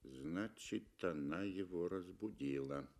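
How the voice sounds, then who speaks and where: Man playing cool hunter, low muffled voice. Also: slow pace, a little mellow, exaggerated voice. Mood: cool, detached. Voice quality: muffled, dull voice. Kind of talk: 1960s radio acting.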